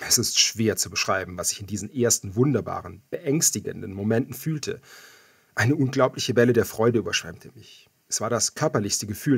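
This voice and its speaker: A man narrates an audiobook novel in the first person. His voice is fairly low and husky, recorded dry and close. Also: no room sound.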